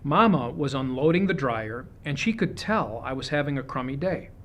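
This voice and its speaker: A man reading a children's picture book aloud, with expressive, deliberate phrasing suited to young listeners. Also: mid-range voice, dull audio. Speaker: storybook reader.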